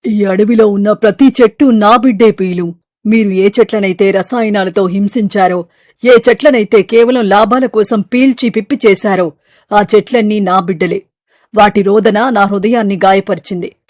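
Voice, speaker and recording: A woman voicing an ancient, sacred mother tree that speaks in a solemn, declamatory way, stern yet forgiving, in an animated moral story. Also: mid-range voice, no room sound, clean studio sound, natural voice. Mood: grieving, reproachful.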